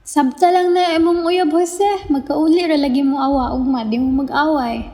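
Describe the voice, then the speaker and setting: A woman's voice: high-pitched, steady tone, moderate pace, warm tone, long reverb tail, natural voice. A woman playing a kind, teasing young coworker in an amateur radio drama. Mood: concerned.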